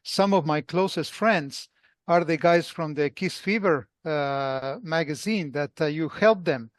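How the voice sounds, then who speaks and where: A man co-hosting a casual interview podcast, effusive, with halting phrasing. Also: a little high, no echo. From Buenos Aires, Argentina. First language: Spanish. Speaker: podcast co-host.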